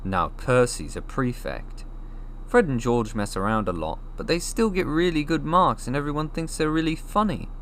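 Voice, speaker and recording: A man voicing a glum, self-deprecating young boy wizard in an animated, grumbling way, for a storybook. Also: mid-range voice, moderate pace, a little hiss or hum, pitched above the performer's own voice, natural voice. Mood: gloomy.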